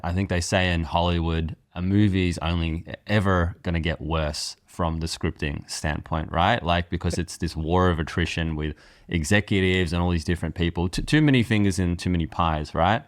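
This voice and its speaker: A man's voice: deep voice, fairly even intonation, normal speed, no room sound, clean studio sound. A man speaking as an interview guest, reflective and conversational, piecing his thoughts together with some repeated words.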